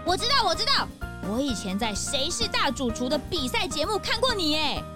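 A woman's voice: high voice, big pitch swings, music in the background. A woman playing a perky, gushing detective's assistant in a children's mystery audio drama. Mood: admiring. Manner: exclamatory, drawn-out sentence endings.

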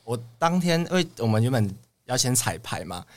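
A man's voice: medium pitch, very animated, moderate pace. A young man with a Taiwanese Mandarin accent, telling stories casually as an interview guest. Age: young adult. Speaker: guest, idol trainee.

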